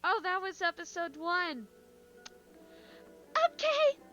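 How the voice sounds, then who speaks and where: Excitable, high-pitched woman reacting live. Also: slow pace. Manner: excitable, jumpy, repeats herself when startled. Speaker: streamer reacting to horror videos. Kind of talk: live reaction commentary, casual chat. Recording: dull lo-fi sound, music behind.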